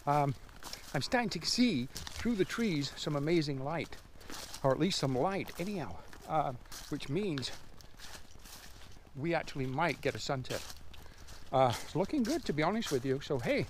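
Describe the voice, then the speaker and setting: An older man talking casually to camera outdoors, musing with pauses and repeating words for emphasis. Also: fairly high pitch, sing-song. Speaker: landscape photographer, vlogger. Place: outdoors in woods and open field. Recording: outdoor ambient noise.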